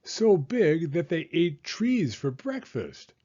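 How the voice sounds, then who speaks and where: A man voicing an excitable, teasing young girl beaver with an emphatic, giggly manner in a storybook. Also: medium pitch, slow pace, husky, lo-fi sound, exaggerated voice. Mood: emphatic, boastful.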